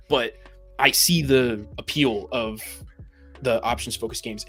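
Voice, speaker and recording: A man's voice: mid-range voice, breathy edge. A man giving emphatic, opinionated views in a casual podcast discussion of tabletop games. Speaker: podcast panelist.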